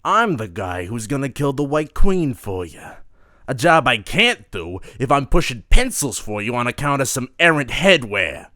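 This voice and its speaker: A man's voice: medium pitch, big pitch swings, normal speed, sharp tone, dry recording, clean studio sound, pitched above the performer's own voice. A man voicing a cocky, irreverent male assassin in a sarcastic tough-guy drawl, in a fan audio drama. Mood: smug.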